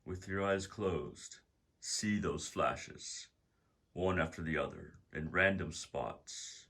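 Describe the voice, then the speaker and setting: A man narrating a guided meditation as its guide, in deliberate, measured phrases with pauses. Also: sits low, fairly bright tone.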